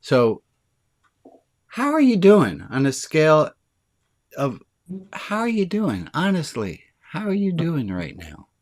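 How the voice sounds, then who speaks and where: A man speaking as a rabbi on a video call, leading an online group in a casual, chatty way with a deep, resonant voice. He talks eagerly and quickly, and he says his excitement speeds him up.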